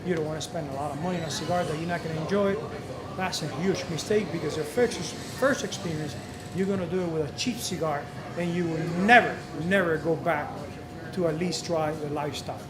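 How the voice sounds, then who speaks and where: A man's voice: fairly high pitch, brisk. A man whose first language is not English hosts a live cigar lesson in a cigar shop, coaching patiently and repeating key points. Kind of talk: live instructional talk. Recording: echoey room with crowd chatter.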